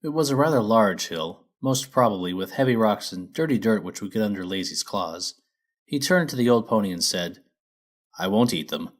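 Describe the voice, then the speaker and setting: A male narrator reading a storybook aloud. His voice sits a little low. The delivery is scripted storytelling. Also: animated, normal speed.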